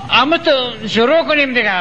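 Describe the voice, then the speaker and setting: A man's voice: very high-pitched, expressive, bright, forceful tone, dull audio, exaggerated voice. An actor with a Kabuli Afghan accent playing a naive, lovesick, fawning client who stumbles through his replies in a stage comedy. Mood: fawning, bumbling. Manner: fawning, hesitant, bumbling replies. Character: naive, lovesick male client, deferential, plaintive simpleton. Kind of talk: theatrical stage comedy dialogue. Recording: stage recording with audience noise.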